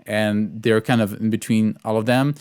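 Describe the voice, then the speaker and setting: Clear-voiced man explaining, halting as he goes. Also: lowish voice, flat delivery, a little mellow. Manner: halting, thinking aloud. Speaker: composer teaching orchestration. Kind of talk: informal tutorial explanation of own music.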